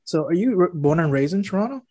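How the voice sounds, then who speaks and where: A man's voice: sits high, expressive, fast, warm tone. A man hosting an interview, asking questions in an easygoing way with small fillers. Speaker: interview host. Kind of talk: casual interview.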